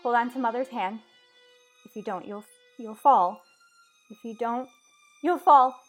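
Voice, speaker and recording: A woman playing an anguished, pleading mother in an old-time radio soap, speaking in dramatic, halting phrases. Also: fairly high pitch, soft background music. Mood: fearful, dreaming. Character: anguished, pleading mother afraid for her child.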